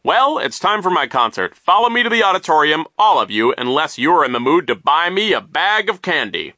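A petty, conceited male vice principal. The voice is raised and sharply forceful, leaping up and down with pompous self-importance. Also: a little high, very animated, brisk, dry recording, pitched above the performer's own voice. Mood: impatient, officious.

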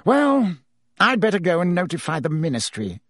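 A man's voice: high-pitched, wildly swooping pitch, moderate pace, fairly bright tone, dry recording, clean studio sound, voice pushed up, exaggerated voice. A man voicing a blustering, fretful male government minister who barks and puffs. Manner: blustering and barking.

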